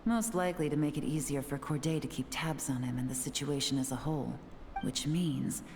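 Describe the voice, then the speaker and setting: A woman's voice: low voice, brisk, a little mellow, a little hiss or hum. An actress playing a cool, shrewd woman agent in scripted game dialogue, composed, calculating and confident. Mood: watchful.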